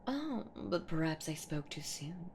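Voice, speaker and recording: A woman acts out a menacing female monster from a horror audio roleplay, teasing and theatrical. She speaks very slowly with heavy creak in her voice, swooping widely as she toys with her prey. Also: on the low side, big pitch swings, a little mellow, slight background noise. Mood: exhilarated, predatory. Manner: theatrical, teasing, slow, often dropping to a whisper. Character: menacing, playful centuries-old female boogeywoman monster hunting her prey.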